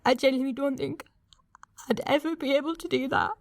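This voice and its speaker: A young woman playing a young woman uneasily recalling her past in a halting, candid radio-play monologue. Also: high voice, no room sound, studio quality. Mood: upset, reluctant. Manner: hesitant, halting, candid, swearing. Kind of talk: naturalistic confessional monologue.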